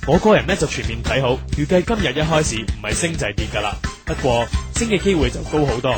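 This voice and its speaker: An actor playing a brisk, slick and officious male announcer in a Cantonese radio drama, with formal delivery. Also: mid-range voice, very animated, loud music behind the voice, close to an everyday voice. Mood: slick, upbeat.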